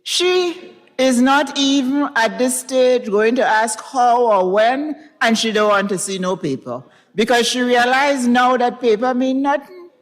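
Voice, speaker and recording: Slow, deliberate Caribbean storyteller woman in a hall. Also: on the high side. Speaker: reader at a literary event. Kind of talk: dramatized reading of fiction. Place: recital hall in front of an audience. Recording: reverberant recital hall.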